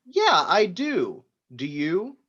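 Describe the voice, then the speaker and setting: An actor playing a brash, demanding, foul-mouthed tech tycoon, pushy and sarcastic, in a screenplay table read. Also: male voice, high-pitched, wildly swooping pitch, slow, pushed and bright, husky, lo-fi sound, heavily acted. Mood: sarcastic, exasperated.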